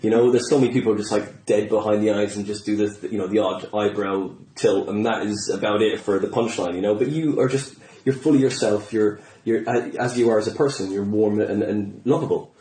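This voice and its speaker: A man's voice: fairly low pitch, brisk, hardly any pauses, mellow. A man chats casually as a podcast host. His manner is upbeat and effusive, and his voice is recorded with noticeable room echo. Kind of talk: casual chat. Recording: roomy echo.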